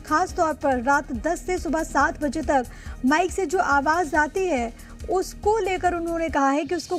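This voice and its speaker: An Indian woman anchoring live television news, speaking emphatically and repeating key points over background music. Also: very high-pitched.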